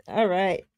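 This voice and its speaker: A woman's voice: mid-range voice, darkish tone, no echo, studio quality. A woman hosting a casual live-stream chat, laughing often and reading out giveaway numbers. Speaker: show host.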